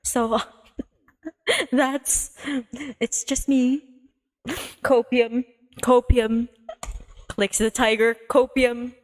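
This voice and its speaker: Slow-paced, high-voiced woman livestreamer, playful. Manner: playful, giggly, expressive. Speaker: streamer. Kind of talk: livestream chat and visual novel read-aloud.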